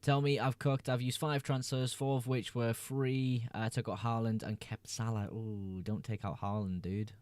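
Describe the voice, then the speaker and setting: A man's voice: mid-range voice, little pitch movement, moderate pace, hardly any pauses, a little mellow, no echo. A young man hosting a casual livestream, reading viewers' chat messages aloud and answering them in a laid-back way. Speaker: livestream host.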